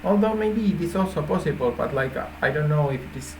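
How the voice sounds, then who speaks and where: A native Finnish man speaking English with a Finnish accent, informally explaining an idea in halting phrases with repeated words. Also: a little high, expressive, background noise. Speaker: app developer presenting a feature idea.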